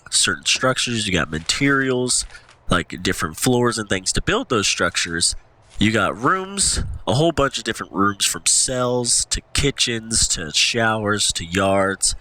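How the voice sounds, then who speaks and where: A man's voice: lowish voice, sharp tone. A man with a stuffy nose from allergies, casually commentating his gameplay as a channel host, rambling and thinking aloud.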